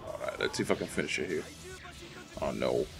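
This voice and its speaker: A man's voice: very deep voice, expressive, brisk, creaky, music in the background. A young man from the Dominican Republic giving casual, profane commentary while playing a fighting game, ranting and easily irritated. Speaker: gameplay commentator.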